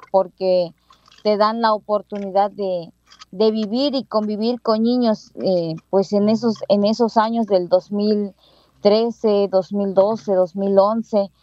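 A woman's voice: mid-range voice, warm tone, no echo, lo-fi sound. A Mexican woman schoolteacher in her thirties with a Mexican Spanish accent, telling her story in an interview with many fillers and restarts. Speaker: bilingual schoolteacher.

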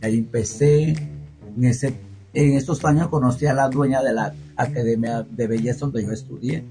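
A man's voice: medium pitch, fairly even intonation, moderate pace, warm tone, soft background music. A middle-aged man with a southern Mexican accent from the Guerrero coast, telling his story casually as an interview guest and acting out remembered quotes expressively. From Costa Chica, Guerrero, Mexico.

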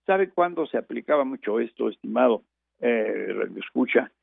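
Gravelly older man on a tinny radio line. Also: medium pitch, animated, normal speed, no room sound. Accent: Mexican Spanish. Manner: digressive, many fillers and restarts. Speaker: radio host. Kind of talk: rambling radio chat and reminiscence. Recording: tinny phone-line broadcast.

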